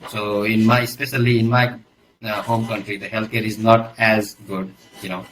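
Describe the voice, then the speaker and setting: A man's voice: lowish voice, little pitch movement, moderate pace, fairly bright tone, slight background noise, rough recording. A man from Nepal with a Nepali accent, giving hesitant, conversational answers as an interview guest, often adding "you know". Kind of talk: casual interview talk.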